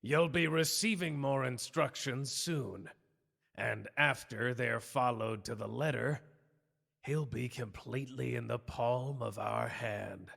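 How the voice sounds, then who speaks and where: A man playing a scheming, haughty villainous official with a gravelly voice in an anime roleplay audio drama. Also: medium pitch, slow, fairly bright tone, long reverb tail, full character voice. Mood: smug, threatening. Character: smug, threatening male villain, scheming sorcery official, cowardly underneath.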